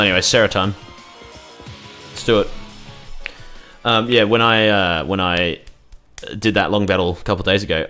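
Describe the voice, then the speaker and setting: A man's voice: low-pitched, fairly bright tone. A young man casually commentating his gameplay, rambling and swearing freely as he reacts to the game. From Australia. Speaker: gaming YouTuber.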